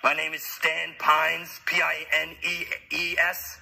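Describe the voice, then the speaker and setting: A man's voice: on the high side, monotone, slow pace, creaky, pushed and bright, exaggerated voice. A man playing a boastful old con man on a prank phone call, who rambles proudly through his story.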